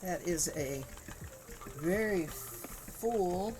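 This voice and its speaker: A woman streaming live while she spins yarn, chatting casually with viewers in a soft, calming voice and laughing easily. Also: on the low side, drawn-out words, noisy background. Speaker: livestream host spinning yarn. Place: home crafting room with spinning wheel.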